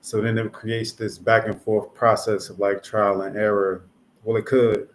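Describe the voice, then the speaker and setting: A thoughtful man in his thirties from North New Jersey talks through his ideas in a steady, reflective monologue. His voice sits low and stays fairly even in pitch, with the occasional filler as he gathers his thoughts. A faint hum of noise lies underneath. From North New Jersey, USA. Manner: measured, thoughtful, musing aloud with fillers. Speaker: artist explaining his own concept.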